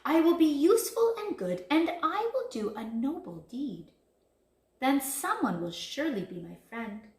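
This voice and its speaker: A woman's voice: on the high side, sing-song, unhurried, few pauses, a little mellow, distant mic, voice pushed up. A woman voicing a big male storybook wolf, eager to be good and easily hurt. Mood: hopeful, pleading. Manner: polite when hopeful, howls and roars when upset. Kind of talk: children's storybook reading. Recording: roomy, echoing sound.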